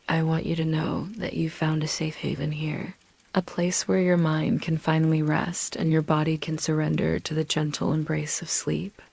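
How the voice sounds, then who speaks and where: A woman narrating a scripted sleep story as a gentle, soothing guide, with a hushed delivery and breathy, whispery phrase endings. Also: low voice, dry recording. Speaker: host and narrator of sleep meditation stories.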